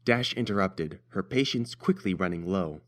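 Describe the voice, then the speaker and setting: A man's voice: low voice, expressive, moderate pace, a bit bright. A man reading scripted story narration as the narrator.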